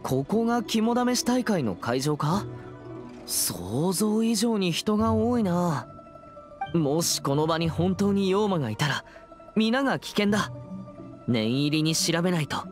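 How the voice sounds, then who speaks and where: A man playing a serious, reserved teenage boy exorcist in a Japanese anime game, speaking formally and in a measured way. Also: high voice, sing-song, faint music behind. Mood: concerned.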